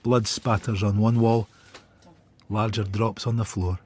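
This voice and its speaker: A man's voice: fairly low pitch, level tone, unhurried, a little mellow, slight background noise, close to an everyday voice. A man playing a world-weary Scottish detective, narrating a radio drama in terse, observant phrases. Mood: sombre.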